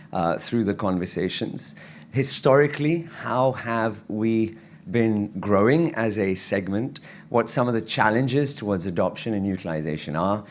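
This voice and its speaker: A man with a lowish, croaky voice gives a physician's conference lecture from the stage. He speaks earnestly, stumbling into restarts and fillers as he goes. A faint hum of the hall sits behind him. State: croaky voice from travel.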